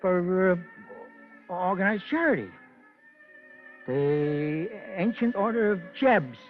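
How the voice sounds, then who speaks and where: High-voiced stammering hustler. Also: male voice, big pitch swings, slow pace, exaggerated voice. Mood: evasive, pleading. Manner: stammering, hesitant, mock-genteel. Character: nervous, wheedling small-time raffle hustler, male. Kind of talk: old-time radio crime drama acting. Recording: muffled old radio recording, music behind.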